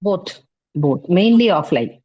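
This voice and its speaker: A middle-aged woman from South India with an Indian English accent, talking as an interview guest about her teaching work in a relaxed way, leaning on fillers like "you know, like". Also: on the low side, slow pace, breathy edge, highs cut off. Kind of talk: conversational interview.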